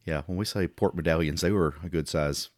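A man's voice: sits low, steady tone, a little fast, a little mellow, dry recording, clean studio sound. A middle-aged man with a Texan accent, co-hosting a casual chat and telling stories with easy laughs. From Texas. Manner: chatty storyteller, laughs easily.

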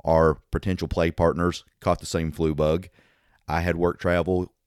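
A man co-hosting a podcast in casual chat, his voice hoarse and raspy today. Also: low-pitched, little pitch movement, moderate pace, a little mellow, dry recording, studio quality. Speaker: podcast co-host.

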